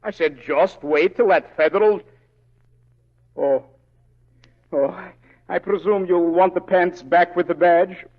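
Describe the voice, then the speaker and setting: An actor playing a fussy, put-upon comic sidekick in a 1940s western radio drama. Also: male voice, high-pitched, steady tone, measured pace, long pauses. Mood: flustered. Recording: dull old radio recording.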